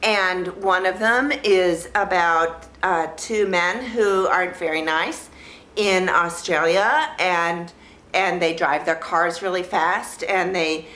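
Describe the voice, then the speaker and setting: Lady vlogger rambling slow and childlike, keeps repeating herself, kinda echoey room. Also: on the low side, pushed and bright. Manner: childlike, naive delivery in simple sentences; halting, repeats phrases as she searches for words. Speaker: vlog host reviewing films. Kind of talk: casual rambling talk to camera.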